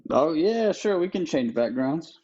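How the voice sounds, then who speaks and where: A man's voice: fairly high pitch, very animated, a little slow, warm tone, muffled recording. A man in his late twenties calling into a live stream, laid-back and joking. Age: 20s. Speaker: caller. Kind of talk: casual chat.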